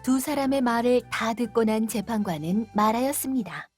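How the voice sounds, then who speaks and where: A woman reads a children's fairy tale aloud as narrator, in a gentle, steady storytelling manner. Her voice is high, with soft music playing underneath. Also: normal speed.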